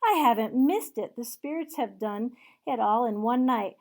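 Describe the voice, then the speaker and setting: A woman reading aloud and voicing old Scrooge, a reformed miser who exclaims loudly and pleads. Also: medium pitch, measured pace, close to an everyday voice. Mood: overjoyed.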